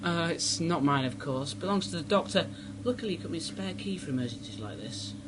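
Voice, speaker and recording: A man's voice: fairly high pitch, lively intonation, fairly quick, noisy background, natural voice. An actor playing an earnest, clever young male time-traveller in a sci-fi radio drama, speaking precisely. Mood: assured. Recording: music under the voice.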